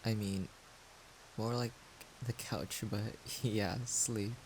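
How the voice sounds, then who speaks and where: Man playing shy young male friend, audio roleplay, gentle and reassuring, unhurried delivery, soft-spoken, faint hissy noise behind. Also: on the low side, steady tone, low-quality recording. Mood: awkward, hesitant. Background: rain-like noise.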